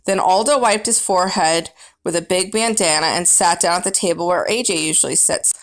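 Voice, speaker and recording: A woman's voice: on the low side, brisk. A woman reading a children's book aloud as first-person narrator, with dramatic, emphatic storybook phrasing.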